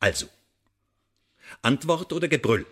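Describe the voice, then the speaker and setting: An actor in a German radio play playing a menacing, smug secret agent who interrogates with pedantic, sardonic mock politeness. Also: male voice, medium pitch, expressive, a little slow. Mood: cold, threatening.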